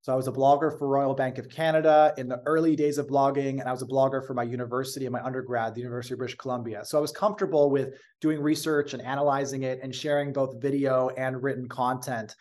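Steady, fluent American man. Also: medium pitch. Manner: measured, fluent storytelling with occasional fillers. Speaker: guest, company founder. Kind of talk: casual interview talk.

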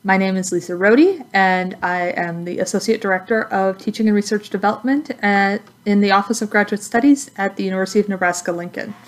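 Woman, Texan accent, instructor, recorded introduction, friendly, measured, frequent fillers. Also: medium pitch. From Texas, US. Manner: friendly, measured, many ums.

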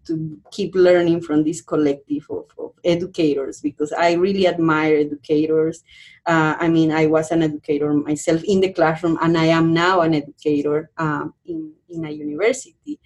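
Low-voiced Peruvian-born woman educator. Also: darkish tone. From Peru. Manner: thoughtful, halting, many fillers and restarts. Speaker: university educator, guest. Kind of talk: podcast interview conversation.

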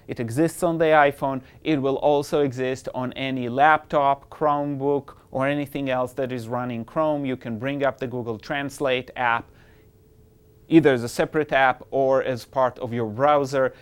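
A man's voice: medium pitch, clean tone. A man whose first language is Hebrew, presenting an upbeat, explanatory screencast tutorial on classroom apps. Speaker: educational technology presenter.

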